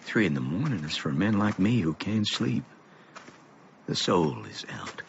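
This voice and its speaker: A dull recording with faint noise holds a weary middle-aged man brooding aloud in a radio play. He speaks very slowly and low, with theatrical swells. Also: very animated, creaky, exaggerated voice. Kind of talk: radio drama monologue. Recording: dull audio, faint noisy ambience.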